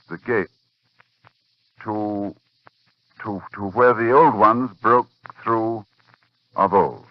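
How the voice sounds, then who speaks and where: A man playing a learned, shaken older professor in 1940s radio horror, speaking in a halting, grave, dramatic way. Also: lowish voice, no echo. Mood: ominous, halting. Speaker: narrator and lead actor. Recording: muffled 1940s radio recording with music.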